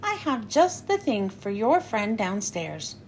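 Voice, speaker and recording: A woman reading aloud, voicing a kind grown-up woman who hosts a party, in gentle, friendly storybook dialogue. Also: mid-range voice, big pitch swings, takes it slowly, a little hiss or hum, pitched below the performer's own voice. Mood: kindly.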